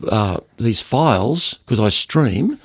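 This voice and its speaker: A man's voice: mid-range voice, very animated, takes it slowly, slightly rough, no echo, clean studio sound. An older man telling stories in a conversational interview, speaking emphatically and repeating words to stress them. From Australia. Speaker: loudspeaker designer, podcast guest.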